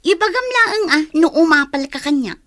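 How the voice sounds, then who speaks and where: A woman's voice: sits high, lively intonation, moderate pace, a bit bright, dry recording, clean studio sound. An actress playing an angry, defiant young female student in a radio drama, indignant and confrontational. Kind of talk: Ilocano radio drama acting.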